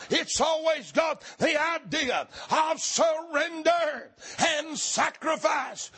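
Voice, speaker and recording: High-voiced hollering preacher man. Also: a bit bright. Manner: hollering, emphatic, drawn-out stresses. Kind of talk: fiery shouted sermon.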